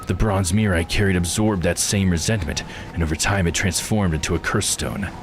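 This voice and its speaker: A man's voice: deep voice, fairly even intonation, fairly quick, noisy background. A man voicing a bitter, brooding, eight-hundred-year-old immortal lord in a visual novel game, delivered with grim, dramatic solemnity. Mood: festering resentment.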